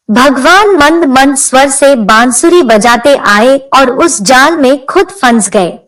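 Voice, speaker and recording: A woman's voice: high voice, fairly bright tone, distant mic, low-quality recording. A woman narrating a scripted devotional story as a storyteller.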